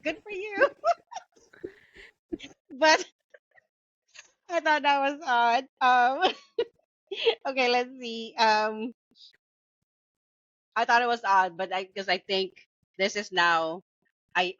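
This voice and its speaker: A woman's voice: a little high, slow, pauses often, fairly bright tone, no echo. A woman with a Filipino accent chatting casually as a co-host of a book review show. She talks with many fillers and restarts and laughs often. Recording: dull lo-fi dry sound.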